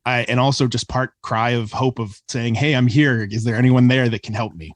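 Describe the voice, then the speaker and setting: A man speaks as an interview guest, explaining his work in a conversational way. His pitch is average for a man. The recording is dry, free of room sound. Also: steady tone, a little fast, dry recording. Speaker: podcast guest, audio drama creator.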